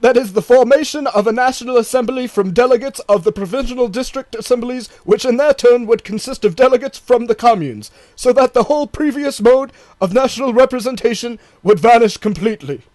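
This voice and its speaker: A man's voice: very high voice, fairly quick, hardly any pauses, darkish tone, no echo, voice pushed up. A man reading in a raised voice as a quoted political writer. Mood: measured. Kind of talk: audiobook reading of quoted passages.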